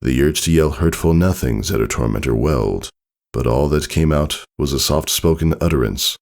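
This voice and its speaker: A man narrating a scripted story dramatically, in a solemn and measured manner. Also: rumbling low, expressive, creaky. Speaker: fan fiction narrator. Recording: soft music behind the voice.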